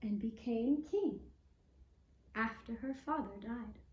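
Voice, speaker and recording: A woman narrating a scripted fairy tale for a puppet show, measured, with long breaks between phrases. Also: fairly high pitch, a little mellow, reverberant, low-quality recording.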